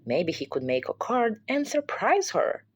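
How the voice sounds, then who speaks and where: A woman's voice: fairly high pitch, huge pitch swings, measured pace, slight background noise. A woman reading a children's picture book aloud as a parent would, in scripted storybook narration.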